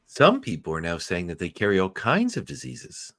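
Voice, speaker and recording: A man's voice: on the low side, huge pitch swings, moderate pace, creaky, fairly bright tone, heavily acted. A man acting a smug, partisan cable news host in a radio play. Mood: smug, leading.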